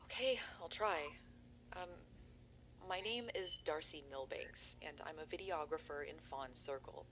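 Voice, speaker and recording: A woman's voice: low-pitched, a little slow, a bit bright, slight background noise, rough recording. A woman playing a worried young videographer searching for her missing brother in an analog horror audio drama, talking in an earnest, rambling way.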